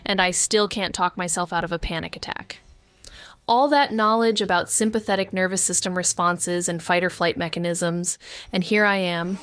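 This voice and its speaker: A woman's voice: fairly low pitch, a bit bright, smooth, lightly acted. A woman playing a wry, anxious, sweary amateur sleuth, narrating a noir audio drama in the first person.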